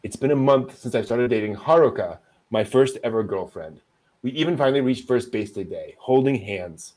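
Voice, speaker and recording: A man's voice: mid-range voice, normal speed, darkish tone. Wry man hosting casual news chat. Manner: wry, amused delivery. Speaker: host of an anime news show.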